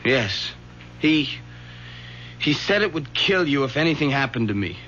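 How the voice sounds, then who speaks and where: A man's voice: medium pitch, very animated, unhurried, bright, forceful tone, a little hiss or hum. An actor playing a frightened, defiant young man who argues defensively with his mother, in an old radio drama. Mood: agitated. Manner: agitated and defensive. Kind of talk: 1970s radio drama acting. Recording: dull lo-fi old radio broadcast.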